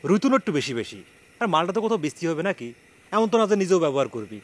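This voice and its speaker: Very rapid, anxious young village man. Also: a little high, very animated. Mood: worried, reasoning. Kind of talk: Bengali TV comedy drama acting.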